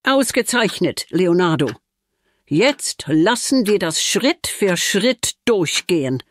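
Casting: woman as a by-the-book teacher, slow and lively in pitch, faint music. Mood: firm. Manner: firm, instructive. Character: strict female math teacher, by the book. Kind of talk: children's audio drama.

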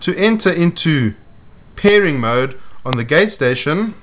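A man giving a measured, step-by-step walkthrough of programming a device, voiced over close-up footage. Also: on the high side, lively intonation, a bit bright, a little hiss or hum. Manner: measured, methodical explaining. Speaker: product demonstrator. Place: indoors at a table.

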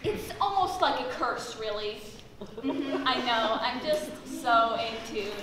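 A woman's voice: a little high, animated, takes it slowly. A young woman playing a self-absorbed, impatient shopper chatting on her phone in an amateur stage comedy. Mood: self-important. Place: theatre stage, distant camera. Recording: echoey stage, noisy distant recording.

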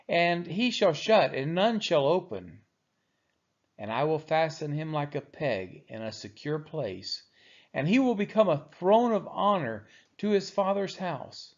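A man reading scripture aloud solemnly and deliberately, phrase by phrase, in a slightly dull recording. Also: fairly high pitch. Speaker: Bible reader.

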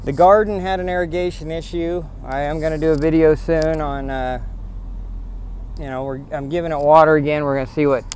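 A man's voice: fairly high pitch, fairly even intonation, a little fast, darkish tone, a little hiss or hum. A man vlogging while driving around rural farmland, talking in an indignant, rambling way and repeating himself. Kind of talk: casual rant to camera. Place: in a pickup truck on farm roads, then outdoors on rural land.

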